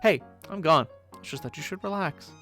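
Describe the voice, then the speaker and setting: A man's voice: a little high, moderate pace, a bit bright, soft background music, voice pushed up, lightly acted. A man voicing a sly, cocky teenage female alien troll who taunts and mocks. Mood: gleeful. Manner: mocking, gleeful, taunting.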